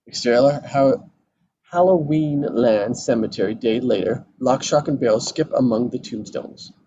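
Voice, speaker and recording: A man narrates stage directions for a script table read. His voice sits at a medium pitch, with slight background noise on the recording. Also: moderate pace, warm tone, muffled recording.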